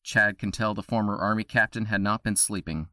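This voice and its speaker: A male narrator reads a scripted audio drama. His voice is on the low side, with a bright, forceful edge. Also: steady tone, a little fast, no room sound, clean studio sound.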